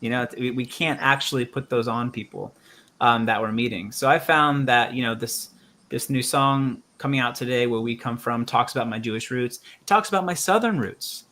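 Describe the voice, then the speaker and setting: A man hosting a reflective conversation in a bright, forward voice. He speaks earnestly and thoughtfully, working through his ideas aloud with many ums and you-knows. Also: mid-range voice, moderate pace. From United States. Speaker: musician and show host.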